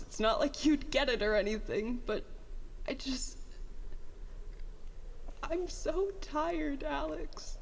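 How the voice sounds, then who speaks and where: A woman playing a stressed, guarded young petty officer in a naturalistic audio drama, halting and rambling with self-deprecating words. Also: fairly high pitch, in a large hall, a little hiss or hum. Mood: weary, bitter. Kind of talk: audio drama acting, naturalistic confessional dialogue.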